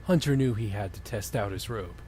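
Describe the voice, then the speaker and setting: A man's voice: on the low side, animated, fairly quick, dark tone, slight background noise. A man co-hosting a comedy podcast, reading a story aloud and breaking off for jokey, casual comments. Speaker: podcast co-host reading fan fiction aloud. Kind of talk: comic dramatic reading with casual banter.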